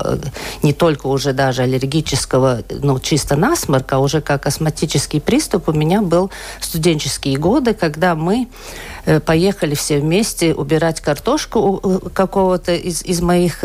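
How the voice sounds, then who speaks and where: A woman's voice: sits low, normal speed, darkish tone. A woman from rural Latvia telling her personal story as a radio interview guest, searching for her words. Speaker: interview guest, asthma and allergy patient.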